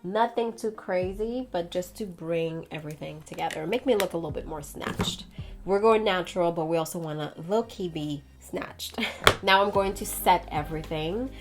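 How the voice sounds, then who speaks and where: A woman's voice: on the low side, moderate pace, faint music behind. A woman giving a casual makeup tutorial in a chatty, playful, slangy way. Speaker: beauty vlogger.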